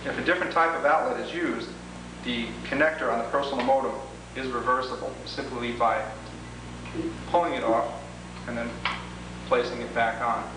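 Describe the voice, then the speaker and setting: A man of average pitch narrates a homemade 1980s training video. He explains procedures methodically and formally, one step at a time. The sound is very reverberant and distant, with clear background noise. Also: steady tone, moderate pace, long pauses. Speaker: product demonstrator and instructor. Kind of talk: instructional training-tape walkthrough.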